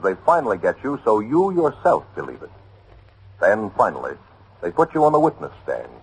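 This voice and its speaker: Male vintage radio announcer, low husky voice, lively intonation, earnest, emphatic, scripted message. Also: low-quality recording. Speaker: public service announcer.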